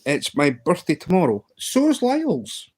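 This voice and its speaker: A man's voice: a little high, huge pitch swings, moderate pace, darkish tone, slightly rough. A Scottish man in his fifties hosting a casual live stream, rambling playfully with lots of ums and restarts. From Scotland. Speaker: livestream host.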